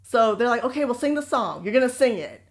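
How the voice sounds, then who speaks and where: Woman co-host, lively anecdote teller, conversational delivery. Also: a little high, fast, gravelly. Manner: animated, laughing storyteller. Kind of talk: casual storytelling chat.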